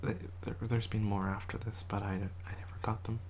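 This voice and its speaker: An ASMR creator in a quiet house, whispering a soft, rambling show and tell and often correcting themselves, voice a little rough from a cold. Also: mid-range voice, level tone, brisk, slight background noise. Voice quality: whispered, soft-spoken delivery. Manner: rambling, self-correcting, chatty.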